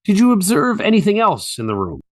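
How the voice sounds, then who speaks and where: A man acting as a nineteenth-century prosecuting attorney in a courtroom drama. He is methodical and probing, phrasing each question formally. His voice sits a little high and is very animated in its inflection. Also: brisk. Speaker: voice actor reading a trial transcript. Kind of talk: dramatized courtroom transcript reading, examination.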